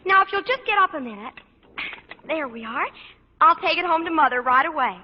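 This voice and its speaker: A woman's voice: high voice, expressive, a little fast. A woman acting a chirpy, guileless teenage daughter in an old radio sitcom. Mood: breezy. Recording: muffled, noisy old radio.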